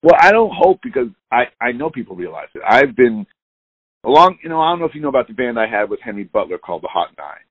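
A sixty-year-old musician with a gravelly, creaky voice speaks over a dull, lo-fi line. His talk is loose and candid, and it wanders as he goes. The sound is dry, with no room around it. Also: mid-range voice, sing-song, quick pace, no echo. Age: 60s. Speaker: musician guest. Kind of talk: casual interview chat.

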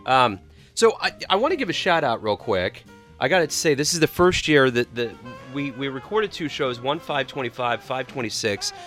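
A man's voice: medium pitch, lively intonation, normal speed, a bit bright, soft background music. A man hosting a radio show, chatting casually and enthusiastically, rambling and restarting his sentences.

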